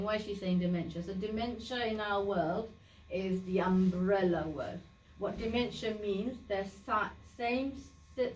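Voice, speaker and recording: A woman's voice: mid-range voice, a little hiss or hum. Woman presenting a community workshop, sylheti her first language, chatty and plain, lots of ums, far from the mic. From Bangladesh. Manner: chatty, explaining plainly with many fillers and restarts. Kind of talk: informal awareness talk to a group. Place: community meeting room. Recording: echoey room, voice from a distance.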